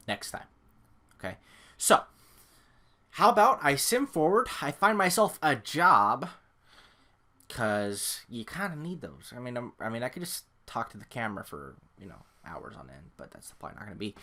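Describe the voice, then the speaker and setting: Bright-voiced rambling young man. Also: mid-range voice. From California. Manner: rambling, self-deprecating, many fillers and restarts. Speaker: gaming YouTuber. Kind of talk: casual gameplay commentary to camera. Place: home room. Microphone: desk microphone.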